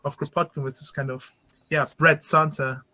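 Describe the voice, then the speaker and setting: A man's voice: on the high side, steady tone, normal speed, pushed and bright, no room sound. Articulate man moderating a discussion. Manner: articulate, reflective questioning with hedges like "kind of". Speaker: conference moderator. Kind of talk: academic panel discussion.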